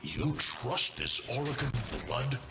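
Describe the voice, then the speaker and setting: A man playing a cold, vengeful alien warlord in a video game, speaking in a solemn, ominous, deliberate way. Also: medium pitch, big pitch swings, very noisy. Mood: menacing. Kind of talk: dramatic video game acting.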